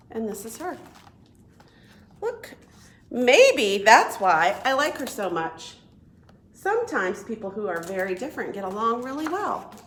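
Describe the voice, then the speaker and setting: A woman reading a picture book aloud to young children as a children's librarian, expressive and deliberate. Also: fairly high pitch. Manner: expressive, deliberate, asks listeners questions. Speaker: children's librarian and storytime reader.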